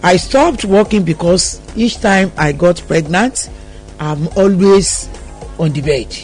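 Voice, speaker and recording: A woman's voice: on the low side, slow, a little mellow, faint music behind. A middle-aged woman with a Nigerian accent telling personal stories as a radio interview guest, with an emphatic manner. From Lagos, Nigeria.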